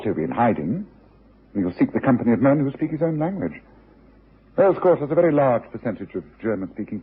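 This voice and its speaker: Husky, restless British detective. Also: male voice, mid-range voice. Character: bored, brilliant, self-assured male Victorian London detective. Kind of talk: radio drama acting. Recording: thin, narrow-band old radio recording.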